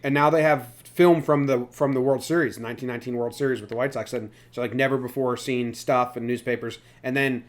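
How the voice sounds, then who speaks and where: A man's voice: medium pitch, fairly even intonation, fairly quick, few pauses. A man hosting a sports podcast in a casual, chatty style. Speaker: podcast host.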